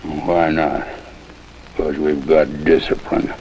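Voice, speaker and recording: An actor playing a stern, proud cavalry commander in an old American TV western, lecturing in a commanding, condescending way. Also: male voice, a bass voice, flat delivery, takes it slowly, darkish tone, exaggerated voice. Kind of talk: mid-century American TV western acting. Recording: dull, noisy old TV soundtrack, rain.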